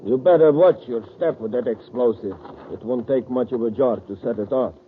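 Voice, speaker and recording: A man's voice: medium pitch, normal speed, natural voice. A man acts out a vengeful male saboteur from a 1950s radio serial. He is cool, calculating and commanding, giving orders like a plotter. The sound is thin and phone-like, with audible noise. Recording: noisy, tinny old-time radio recording.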